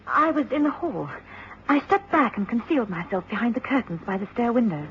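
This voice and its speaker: High, swooping young lady. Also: very animated, a little fast. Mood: intent. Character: genteel, troubled bereaved young woman, a witness. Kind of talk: British radio drama acting. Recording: muffled old radio recording, slight hiss.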